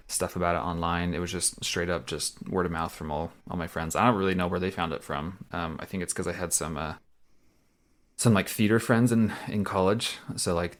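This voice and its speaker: A young man co-hosting a movie podcast in casual chat, rambling with fillers and easy laughs. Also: sits low. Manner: chatty, lots of fillers and laughs. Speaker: podcast co-host.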